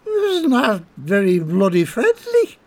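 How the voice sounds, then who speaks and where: A tipsy rascal, as a man puts him on, squeaks and swoops way up high, drawling every word with boozy, cheeky charm. The voice sits a little back from the mic and sounds slightly husky. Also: wildly swooping pitch, takes it slowly, a little mellow, exaggerated voice. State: drunk. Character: drunk Irish petty crook, middle-aged man, cheeky and wheedling.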